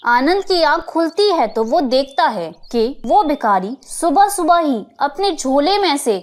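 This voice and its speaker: A woman's voice: sits high, lively intonation, unhurried. A woman narrator reading a scripted children's moral story.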